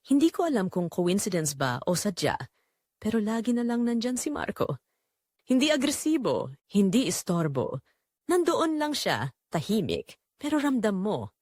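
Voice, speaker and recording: Expressive woman narrating, close dry sound. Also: medium pitch, dry recording. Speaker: audio drama narrator. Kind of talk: scripted first-person romance narration.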